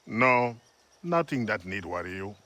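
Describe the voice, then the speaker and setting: Subdued settler husband, weary, mild, slightly low pitch, game dialogue. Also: male voice, very animated, measured pace, bright, forceful tone, exaggerated voice. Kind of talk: video game character dialogue.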